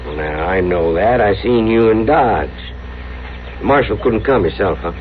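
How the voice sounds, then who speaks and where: A man's voice: lowish voice, lively intonation, moderate pace, close to an everyday voice. A man as a blunt rancher on tinny old radio. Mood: surprised, questioning. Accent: American, rural Western. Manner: blunt, folksy, insistent. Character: gruff, plainspoken male prairie horse rancher with a grievance. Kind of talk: 1960s radio Western acting. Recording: phone-like, faintly noisy vintage radio recording.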